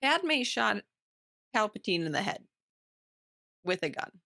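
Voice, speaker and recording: A woman's voice: medium pitch, big pitch swings, slow pace, vocal fry, pushed and bright, no echo, studio quality. A woman co-hosting a podcast, talking casually and with enthusiasm about what she reads. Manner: informal, enthusiastic. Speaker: podcast co-host. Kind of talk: casual book-club chat.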